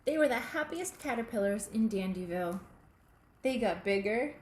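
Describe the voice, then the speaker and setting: A woman reading a children's picture book aloud, in a deliberate, expressive way aimed at young listeners. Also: medium pitch. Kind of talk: children's storybook read-aloud. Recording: echoey room with faint background noise.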